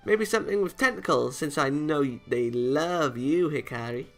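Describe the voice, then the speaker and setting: A man's voice: on the high side, expressive, moderate pace, fairly bright tone, faint music behind. A man voicing a cheerful, mischievous young anime girl in a visual novel, teasing in a sing-song, playful way.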